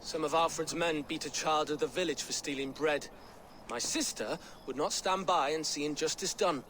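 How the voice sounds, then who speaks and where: An actor playing an excitable, soft-hearted nineteen-year-old peasant lad in a medieval video game, with an earnest manner. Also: male voice, a little high, moderate pace, a little hiss or hum, lightly acted. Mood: bitter. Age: young adult. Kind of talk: dramatic video game acting.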